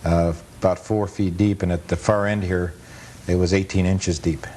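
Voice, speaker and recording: A man's voice: flat delivery, normal speed, a little mellow. A man giving casual, matter-of-fact commentary over old footage, full of detail and anecdote. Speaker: guest film collector and amusement park historian. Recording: noisy rumbly 1980s cable TV audio.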